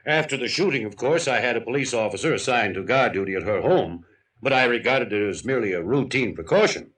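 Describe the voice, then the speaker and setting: A man's voice: medium pitch, animated, quick pace, fairly bright tone, close to an everyday voice. A man playing a courteous, slightly pompous town mayor in an old radio drama, formal and earnest. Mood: uneasy, puzzled. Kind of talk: mid-century radio drama acting. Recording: echoey, distant old radio recording.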